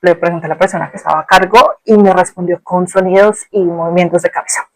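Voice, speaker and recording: A woman's voice: on the low side, steady tone, fast, no echo. Colombian woman telling a personal story, close-sounding. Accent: Colombian Spanish. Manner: reflective, conversational, colloquial. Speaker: podcast host.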